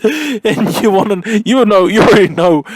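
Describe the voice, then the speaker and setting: A man's voice: high voice, animated, moderate pace, no echo. Casual, rambling man with a dry close sound. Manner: silly, giggly, easily cracks up. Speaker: vlogger giving film and game reviews. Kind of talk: casual rambling spoken review.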